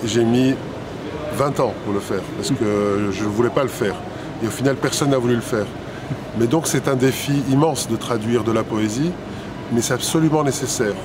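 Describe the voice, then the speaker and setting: A man's voice: mid-range voice, normal speed. A man in his fifties, a poet and publisher, answering interview questions thoughtfully with many hesitations and restarts. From Italy and Belgium. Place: city square outdoors, traffic nearby. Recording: outdoor street noise.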